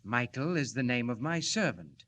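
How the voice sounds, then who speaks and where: A polite, fussy inventor acted by a man in a vintage 1940s radio serial. His voice sits at a middling pitch and his manner is earnest. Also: lively intonation, moderate pace, fairly bright tone, natural voice. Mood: courteous. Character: courteous, fussy male scientist inventor.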